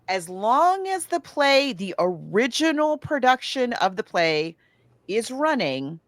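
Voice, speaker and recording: Low-voiced American woman chatting casually. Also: no echo. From United States. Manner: conversational storytelling, often backtracking. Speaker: podcast co-host. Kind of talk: casual book and film discussion.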